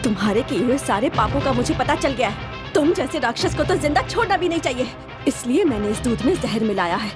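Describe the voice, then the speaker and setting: A woman's voice: sits high, expressive, brisk, music in the background. An actress playing a vengeful young bride in a melodramatic screen drama, confronting her murderous husband in a theatrical, accusing manner. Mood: cold, vengeful.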